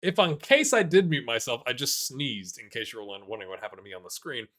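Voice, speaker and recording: Lively, bright-voiced man commentating his game. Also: medium pitch, fast. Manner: chatty, self-deprecating, swings from mumbled asides to excited shouts at goals. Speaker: gaming YouTuber playing a football manager game. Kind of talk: casual live commentary over gameplay.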